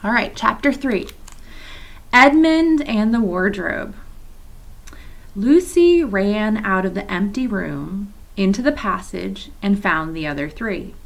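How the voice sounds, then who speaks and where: A woman's voice: medium pitch, animated, slow, vocal fry. Woman reading a children's chapter book aloud, friendly asides, recorded in a church office. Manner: chatty asides to young listeners.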